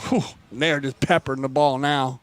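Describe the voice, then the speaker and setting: A man's voice: mid-range voice, fairly even intonation, moderate pace, a little hiss or hum. A man co-commentating live on a polo match in an exclamatory way.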